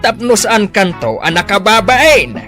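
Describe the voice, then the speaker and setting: A man's voice: high-pitched, big pitch swings, a little slow, over music. A man playing a stubborn older father. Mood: scolding. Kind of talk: radio drama acting.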